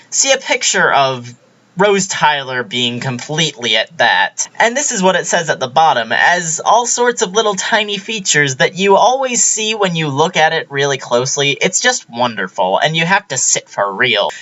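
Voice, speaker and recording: Bright, animated young vlogger reading aloud. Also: medium pitch. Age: young adult. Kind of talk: deliberately reading a garbled transcript aloud.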